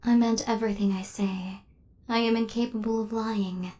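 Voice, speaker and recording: A woman playing an affectionate, literal-minded killer robot in an intimate ASMR roleplay, speaking softly and often near a whisper, in measured, formal phrasing. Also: a little high, steady tone, natural voice. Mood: curious, awed.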